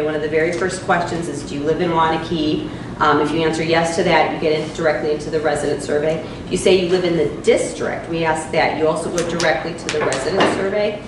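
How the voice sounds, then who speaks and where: A woman leading a public housing meeting, walking the group through a survey with frequent fillers. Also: on the low side. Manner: explanatory, many fillers. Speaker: meeting facilitator. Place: church hall meeting. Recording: echoey room from far off with noise.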